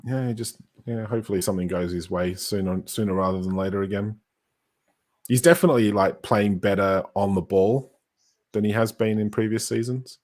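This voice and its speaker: A man's voice: lowish voice, brisk, darkish tone. A man from Australia with a mixed Australian and British accent, chatting casually about football as a co-commentator.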